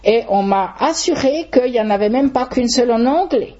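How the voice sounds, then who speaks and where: An older woman teaching at a public talk, explaining in a measured way and stretching words for emphasis, with a slightly husky voice. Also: fairly high pitch, expressive, dark tone, highs cut off. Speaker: Esperanto teacher giving a talk. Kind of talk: public talk with audience questions.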